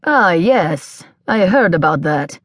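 A woman voicing a brash, flirtatious man who speaks slow, halting broken English. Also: fairly low pitch, lively intonation, no room sound, clean studio sound. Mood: chatty. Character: brash flirtatious Italian man, self-assured.

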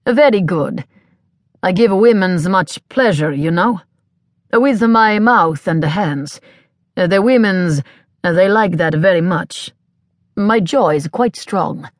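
A woman voicing a brash, flirtatious man who speaks slow, halting broken English. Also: fairly low pitch, expressive, no room sound, clean studio sound. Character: brash flirtatious Italian man, self-assured.

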